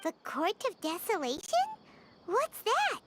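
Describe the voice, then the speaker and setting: A woman voicing a tiny, excitable fairy-like girl companion in a video game, chirpy and blunt with her questions. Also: extremely high pitch, slow, very noisy, exaggerated voice. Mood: curious. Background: game music.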